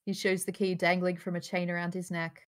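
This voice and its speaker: A woman's voice: on the low side, completely flat delivery, a little fast, a little hiss or hum. A woman narrating the stage directions in a live table read of a film script, recorded over a video call. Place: indoors at home, on a group video call.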